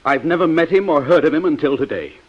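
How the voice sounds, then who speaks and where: Man acting as rich middle aged husband, old radio drama, husky voice, defensive and stiff, dull sound. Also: on the high side, fast, lightly acted. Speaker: radio actor. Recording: muffled old-time radio broadcast recording.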